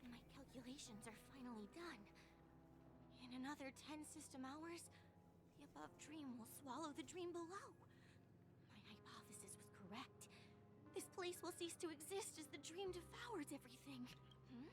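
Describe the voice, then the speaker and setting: Game role: young female surveyor, obsessive and earnest, extremely high-pitched, urgent delivery. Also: lively intonation, normal speed, background noise. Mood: intent, absorbed. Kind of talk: video game character acting.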